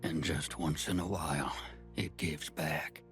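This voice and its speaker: A man's voice: extremely low pitch, fairly even intonation, measured pace, fairly bright tone, faint music behind. An actor playing a world-weary man in game voice lines. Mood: reflective.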